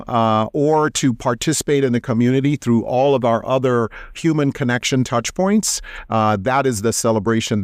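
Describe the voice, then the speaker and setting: A man answering interview questions in a measured way, with frequent filler sounds. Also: medium pitch, clean tone, no echo, clean studio sound. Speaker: interview guest, company executive.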